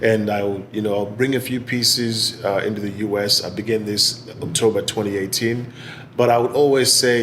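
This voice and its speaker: A man in his forties with a Zimbabwean accent, giving measured, articulate answers in an interview. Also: lowish voice, a little hiss or hum. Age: 40s. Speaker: interviewee, business executive and gallery owner. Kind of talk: oral history interview answers.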